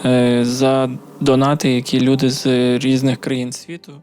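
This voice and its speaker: Man from ukraine, musician interviewee, flat monotone, unhurried with hesitations, faint background hiss. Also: mid-range voice. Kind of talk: interview answers.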